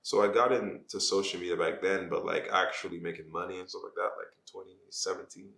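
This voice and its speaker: Young man from Jamaica, podcast guest, deep voice with a bright edge, relaxed rambling delivery. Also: fast, vocal fry, echoey room. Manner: laid-back, rambling with many "like"s. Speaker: podcast guest, social media influencer. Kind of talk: casual podcast chat.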